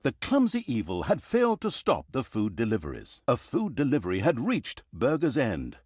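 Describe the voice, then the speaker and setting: Wildly expressive, sarcastic storyteller. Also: male voice, mid-range voice, normal speed, breathy edge, no echo, studio quality. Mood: wry narrating. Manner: wry, mock-grandiose, emphatic. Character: sarcastic, easily exasperated male fantasy storyteller mocking evil. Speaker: video game narrator. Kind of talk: theatrical comic storybook narration.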